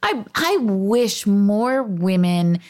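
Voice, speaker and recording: A woman's voice: medium pitch, sing-song, extremely slow, a little mellow. A woman co-hosting a casual movie podcast, enthusiastic and emphatic. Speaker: podcast co-host.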